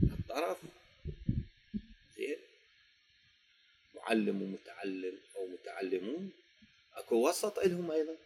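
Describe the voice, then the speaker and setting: A man with an Iraqi Arabic accent teaching a grammar lesson, dictating slowly and quizzing his students before answering his own questions. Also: fairly high pitch, vocal fry, mellow, slight background noise, low-quality recording.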